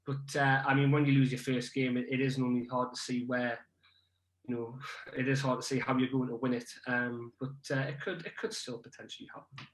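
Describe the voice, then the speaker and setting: A man's voice: mid-range voice, flat delivery, a little fast, a little hiss or hum. A young man chatting about rugby as a podcast guest, hesitant, with many ums and restarts. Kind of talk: casual podcast chat. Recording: echoey room.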